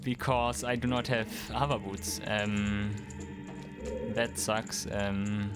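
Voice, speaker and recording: A man's voice: fairly low pitch, slow pace. A man in his thirties from Germany, casually talking through a livestream of a game and answering chat. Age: 30s. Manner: relaxed, answers chat questions, chuckles. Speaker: streamer. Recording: game music behind. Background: game music.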